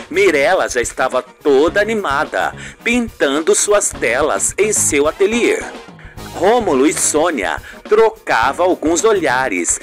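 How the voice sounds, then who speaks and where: Slow radio soap narrator. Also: medium pitch.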